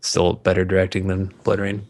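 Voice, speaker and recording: A man co-hosting a podcast, chatting casually and profanely about movies in an opinionated, mocking, animated way. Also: low voice, a little fast. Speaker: podcast co-host.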